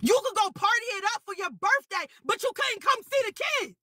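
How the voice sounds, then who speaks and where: A young woman delivers a heated livestream rant, loud and profane, hammering the same phrases again and again. Her voice sits very high and has a sharp, bright edge. The sound is dry and close. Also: big pitch swings, a little fast, no room sound. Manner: shouting, profane, repetitive. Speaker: livestream guest.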